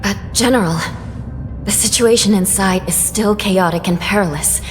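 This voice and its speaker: An actress playing a solemn, dutiful judge in a video game, speaking formally with restrained deference. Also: female voice, mid-range voice, moderate pace, natural voice. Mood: solemn, deferential. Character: solemn, dutiful female judge of the underworld prison. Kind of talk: English video game voice acting. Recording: long reverberant hall, music behind. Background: game music.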